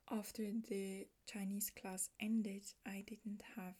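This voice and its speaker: A woman from Switzerland with a Swiss German accent, a native Swiss German speaker, hosting a podcast in a casual solo talk, soft and often half-whispered, thoughtful with small restarts. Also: mid-range voice, creaky, slightly rough. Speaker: podcast host.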